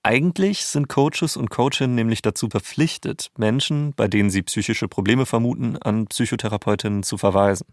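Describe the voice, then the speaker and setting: A man's voice: lowish voice, a little fast, hardly any pauses, no echo, clean studio sound. A man co-hosting a narrative podcast, reading scripted storytelling in a conversational, explanatory tone.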